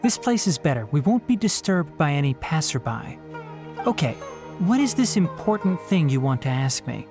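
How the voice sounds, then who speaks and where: A young forest watcher in a video game. He is brisk and courteous, with a sensible air and lively rises and falls in his speech. Soft music sits behind him. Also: fairly high pitch. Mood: matter-of-fact.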